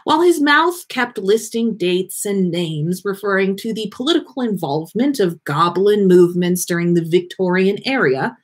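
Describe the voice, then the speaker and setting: A woman hosting a livestream in a playful, expressive manner. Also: mid-range voice, normal speed, hardly any pauses, husky. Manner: playful, sweary, teasing, expressive. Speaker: podcast host reading fan fiction on a livestream. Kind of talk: casual livestream banter.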